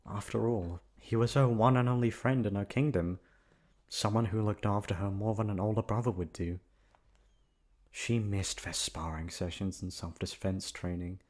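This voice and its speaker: A man's voice: sits low, a little mellow. A man narrating a bedtime storybook tale as a voice actor, in an ASMR sleep-aid style.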